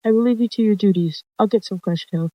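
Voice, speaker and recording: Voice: mid-range voice, fast talker, dry recording, highs cut off, lightly acted. A storyteller voicing a chatty, businesslike male cat deputy who hands out patrols in a roleplay story. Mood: casual, dutiful.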